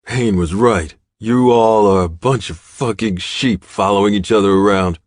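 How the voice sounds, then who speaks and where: A man's voice: on the low side, moderate pace, no echo, clean studio sound, pitched above the performer's own voice, natural voice. A man voicing a defiant, taunting male captive villain in an audiobook, sneering and goading.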